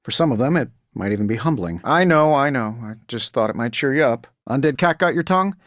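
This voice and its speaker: An actor playing a cocky genius billionaire superhero in a video game, with an American accent and a glib, sarcastic, wry delivery. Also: male voice, mid-range voice, lively intonation, a little fast, fairly bright tone, no room sound, studio quality, lightly acted.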